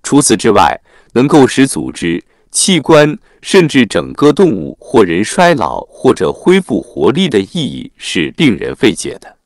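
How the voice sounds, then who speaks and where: A man narrating a written article in a formal, measured read-aloud manner. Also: mid-range voice, wildly swooping pitch, no room sound, studio quality.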